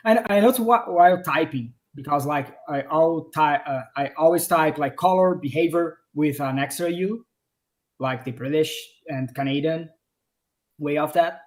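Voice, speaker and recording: A man with a Brazilian accent, his voice a little higher than most men's, answers community questions about his game over a video call. He speaks in a hesitant way, stopping and restarting as he looks for words. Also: moderate pace. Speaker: game developer. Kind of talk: casual livestream Q&A. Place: home office.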